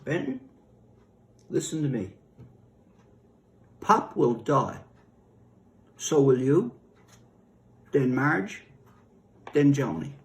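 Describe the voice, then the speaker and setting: A man's voice: medium pitch, very animated, very slow, pauses often, full character voice. A man reading a story as a blunt, self-absorbed God who lectures dismissively. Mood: grave. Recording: roomy echo.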